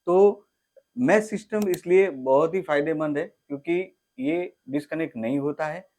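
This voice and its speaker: A man's voice: a little high, sing-song, unhurried, a little mellow. An Indian man giving an explanatory opinion as a guest expert on a product.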